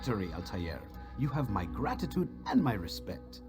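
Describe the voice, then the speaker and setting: An actor playing a courteous assassins' bureau keeper in a video game, formal and deferential. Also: male voice, lowish voice, big pitch swings, moderate pace. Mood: respectful. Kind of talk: dramatic video game acting. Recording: loud music under the voice.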